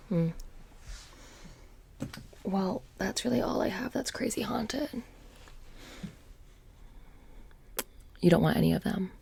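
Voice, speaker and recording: A woman playing a playful, menacing witch shopkeeper in a soft-spoken ASMR roleplay, breathy and often whispering, with a casual deadpan delivery. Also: medium pitch, exaggerated voice. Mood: conspiratorial, mock-sinister. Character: playful, menacing, petty witch shopkeeper selling haunted trinkets.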